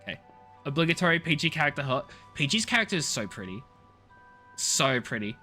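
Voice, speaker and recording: Man, game streamer, higher-pitched, very bright forceful voice, casual rambling chat, music behind. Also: big pitch swings, a little fast.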